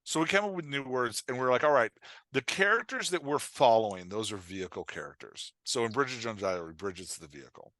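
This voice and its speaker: A man's voice: mid-range voice, expressive, a little fast, a bit bright, dry recording. A man speaking as a guest writing coach on a podcast, explaining things in an informal, emphatic way with quoted examples. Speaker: guest author and dialogue coach.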